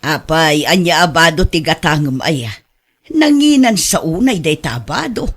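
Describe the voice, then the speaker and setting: A woman's voice: sits low, lively intonation, normal speed. A woman playing an older, questioning aunt in a radio drama, with an Ilocano Filipino accent. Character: older, frank, questioning aunt who lends money.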